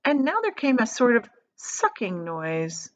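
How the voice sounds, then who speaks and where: A woman reading a children's book aloud as an expressive storyteller. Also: on the high side, unhurried.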